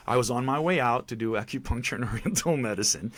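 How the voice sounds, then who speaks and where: A man's voice: mid-range voice, fast, breathy edge, no room sound. A middle-aged man from Puerto Rico with a Puerto Rican accent, a native Spanish speaker telling stories emphatically as a podcast guest. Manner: animated, emphatic storytelling. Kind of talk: casual storytelling interview.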